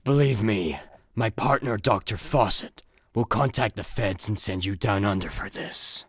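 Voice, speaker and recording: An actor playing a big, smug, mercenary space hero turned villain, taunting and self-important, in a comedic sci-fi radio play. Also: male voice, lowish voice, measured pace, dry recording, studio quality. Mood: threatening.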